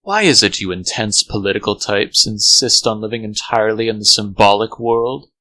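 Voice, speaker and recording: Mocking male fox played by a man, bright edgy voice, glib sarcasm, comic dub, dry recording. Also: fairly low pitch, moderate pace, no echo. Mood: sly, mocking.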